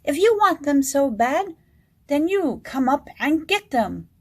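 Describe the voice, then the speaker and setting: A woman's voice: sits high, big pitch swings, takes it slowly, a little mellow, pitched above the performer's own voice, heavily acted. A woman voicing a rude, sulky, mischievous male court jester in a storybook, with a grumpy, taunting manner.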